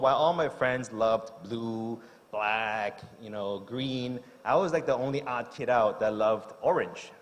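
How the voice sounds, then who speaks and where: A middle-aged man from Hong Kong speaks at a conference, hesitant and leaning on fillers as he restarts his sentences. His voice sits at an ordinary middle pitch. The mic picks up a long hall reverb. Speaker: chef giving a talk. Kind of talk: unscripted conference speech. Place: large conference hall stage.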